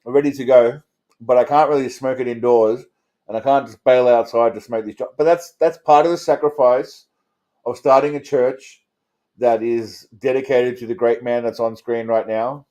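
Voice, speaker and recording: An Australian man with a middling pitch chats off the cuff as the host of a livestream. His delivery is blokey and crude, full of swearing and rambling asides to his viewers. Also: steady tone, moderate pace, mellow. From Australia, Melbourne suburbs. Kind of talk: casual livestream chat answering viewers. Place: indoors.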